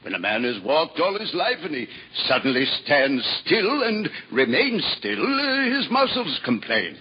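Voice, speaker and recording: A dry-witted, scholarly monk in a 1950s radio play. His husky voice moves with lively pitch. The old broadcast sounds dull, with a long reverb trailing after him. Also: unhurried, full character voice. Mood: wry. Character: witty learned male monk, Father Elias. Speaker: priest. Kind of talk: 1950s radio drama acting.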